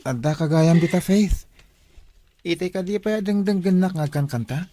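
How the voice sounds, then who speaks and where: A man's voice: sits high, normal speed, mellow, no room sound, close to an everyday voice. Man acting an earnest Filipino schoolboy, dry studio sound. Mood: friendly, shy. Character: Lindon, earnest teenage blind schoolboy singer. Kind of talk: Filipino radio drama acting.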